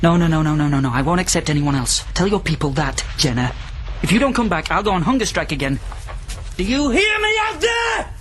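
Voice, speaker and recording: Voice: mid-range voice, big pitch swings, brisk, background noise, natural voice. An actor playing a stubborn, needy, long-held captive in a radio drama, with intense, volatile delivery. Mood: desperate, angry.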